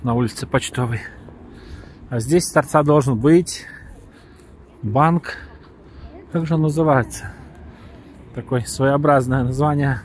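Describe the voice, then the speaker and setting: A man vlogging casually while walking down a street in the evening, sounding tired and pausing to search for words. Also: mid-range voice. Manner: relaxed, slightly weary, searching for words. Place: evening pedestrian street outdoors. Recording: outdoor street noise.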